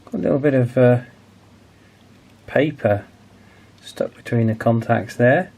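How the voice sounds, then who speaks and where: A man's voice: mid-range voice, unhurried, darkish tone. A man in his forties narrating a hands-on repair casually, hesitant and thinking aloud as he goes. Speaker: video host, radio restoration hobbyist.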